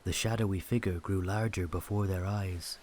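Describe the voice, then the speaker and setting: A man's voice: low-pitched, steady tone, normal speed, darkish tone, slight background noise. A man giving scripted storytelling narration of a mythic legend.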